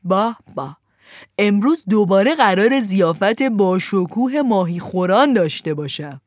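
A woman reading a storybook aloud, voicing a greedy green fisherman who is gloating and ogre-like, and who speaks slowly and mockingly. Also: medium pitch, sing-song, no room sound, studio quality, lightly acted. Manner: slow, relishing, mocking.

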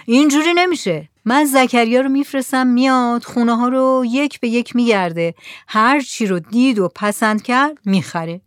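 A woman's voice: on the high side, hardly any pauses, no echo, studio quality, voice pushed up, lightly acted. A woman reading the lines of a demanding, dismissive male mullah in a story. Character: pushy bargaining male mullah.

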